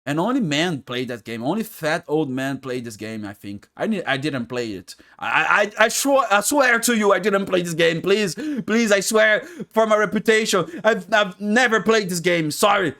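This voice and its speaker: Animated man reacting, swinging pitch. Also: normal speed, hardly any pauses. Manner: animated, exclaiming, repeats words for emphasis. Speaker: reaction video host. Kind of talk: casual joking reaction commentary.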